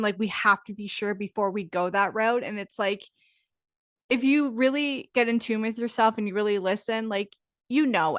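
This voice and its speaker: A woman's voice: medium pitch, no echo, clean studio sound. A woman hosting a podcast, musing in a reflective, enthusiastic way. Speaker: podcast host. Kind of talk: casual conversational interview.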